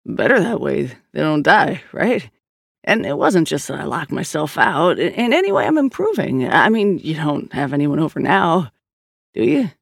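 A man acts out a pleading younger brother in an audiobook. He gushes and stammers over-eagerly, running on nervously, with a creaky edge in a very dry recording. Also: a little high, big pitch swings, normal speed, fairly bright tone, dry recording, exaggerated voice. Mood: wheedling.

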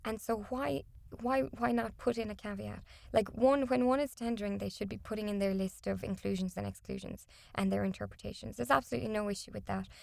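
A woman whose first language is not English explains contract pitfalls in an interview, emphatic and steady. Her mid-pitched voice has a gravelly fry, and the sound is close and dry. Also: brisk, no echo. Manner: explanatory, emphatic, some restarts. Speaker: contracts and disputes consultant. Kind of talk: interview answers.

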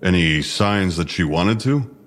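Low-voiced, clipped police detective. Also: male voice, animated, a little slow, fairly bright tone. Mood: formal, probing. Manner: formal, clipped questioning. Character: stern male police detective, dry and sarcastic interviewer. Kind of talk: audio drama acting, recorded interview.

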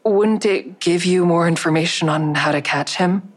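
A woman's voice: lowish voice, moderate pace, natural voice. An actress playing a concerned, probing woman in audio drama dialogue, with an earnest, questioning manner. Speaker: lead actor in an audio drama.

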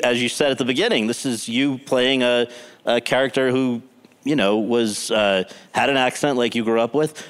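A male interviewer poses long, winding questions on a festival stage, circling back and restarting as he sets up each topic. His voice carries a long reverb from the hall around him. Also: mid-range voice. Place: festival stage before an audience.

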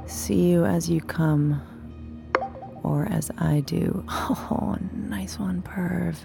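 A woman's voice: on the low side, very slow, creaky, music in the background, exaggerated voice. An actress playing a sultry, commanding female companion in an audio drama, flirtatious, knowing and teasing. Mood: teasing, seductive. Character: sultry woman companion selling her time, teasing and commanding. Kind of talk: naturalistic audio drama acting.

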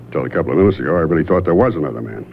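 An actor playing a young, shrewd investigator in a radio mystery, halting and deliberate as he narrates and probes. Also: rumbling low, animated, a little hiss or hum. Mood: probing. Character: young male investigator, shrewd, hesitant, narrating his case. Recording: muffled vintage radio recording, music behind.

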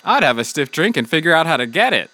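A man's voice: on the high side, sing-song, quick pace, pushed and bright. A man playing a breezy, flippant, money-minded doctor in an audio comedy, glib and casually tactless. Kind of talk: audio drama comedy dialogue.

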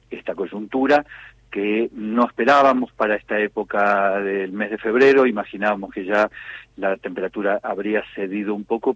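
An Argentine man answering as an official in a radio interview, speaking in a measured, formal, wordy way. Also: lowish voice, slightly rough, muffled recording. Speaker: government official being interviewed.

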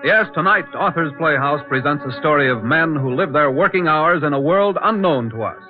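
A man with a slightly high voice narrates like a 1940s radio announcer. His delivery is portentous and declamatory. The recording is thin and tinny, with music playing clearly behind him. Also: expressive, normal speed. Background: dramatic music.

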